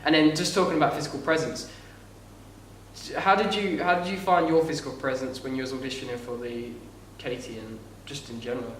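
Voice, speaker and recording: A young man with a light voice, higher than most men's, chatting on a podcast in a rambling, halting way. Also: fairly even intonation, fairly bright tone, slight background noise. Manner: rambling, halting, with frequent restarts. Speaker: podcast co-host, actor. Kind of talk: casual chat. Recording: echoey room, mic a bit far off.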